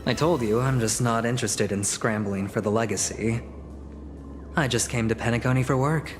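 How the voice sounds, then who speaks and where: A man's voice: mid-range voice, moderate pace, lightly acted. Male actor for scheming young negotiator in a story-driven game. Suave, showy, persuasive delivery over prominent music. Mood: smooth, scheming. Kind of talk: video game story dialogue.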